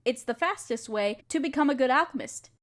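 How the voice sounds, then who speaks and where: A woman playing a kind, patient alchemist who gently teaches and reassures an apprentice in an intimate audio roleplay. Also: sits high, animated, a little fast. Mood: instructive, encouraging. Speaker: voice actor in a scripted audio roleplay. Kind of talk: intimate scripted roleplay, one-sided conversation with listener.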